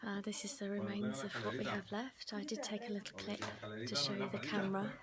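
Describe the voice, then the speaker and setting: Soft-spoken woman, often near a whisper. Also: mid-range voice, little pitch movement, fairly quick, heavy background noise. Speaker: ASMR vlogger and host. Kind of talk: casual behind-the-scenes vlog narration.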